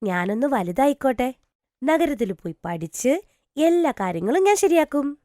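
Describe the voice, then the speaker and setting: A woman's voice: high-pitched, big pitch swings, normal speed, dark tone, no room sound, clean studio sound. A woman playing an earnest, hopeful young schoolgirl with a childlike, pleading manner in a cartoon story. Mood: dreamy, hopeful. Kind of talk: dramatised cartoon story dialogue.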